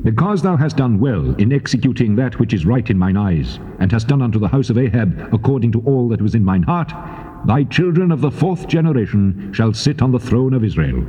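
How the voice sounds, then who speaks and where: An actor voicing a solemn, majestic God, speaking in a stately way in a dramatized scripture reading. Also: male voice, mid-range voice, animated, brisk, warm tone, lightly acted. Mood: solemn approval. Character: the Lord God, solemn, majestic, approving deity. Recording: dull old cassette sound.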